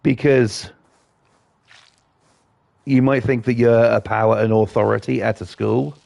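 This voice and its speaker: Gravelly man, blunt and sweary. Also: mid-range voice, steady tone, unhurried, a little mellow, dry recording. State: croaky voice from a cold. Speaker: gaming channel host. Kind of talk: casual gameplay commentary with rants.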